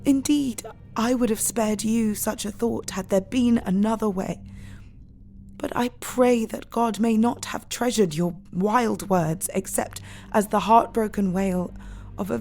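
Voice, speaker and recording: A woman's voice: mid-range voice, soft background music, close to an everyday voice. A woman playing a devout, grieving young Victorian wife in an audio drama, imploring tenderly and sorrowfully. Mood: sorrowful pleading. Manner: slow, imploring, hushed outbursts.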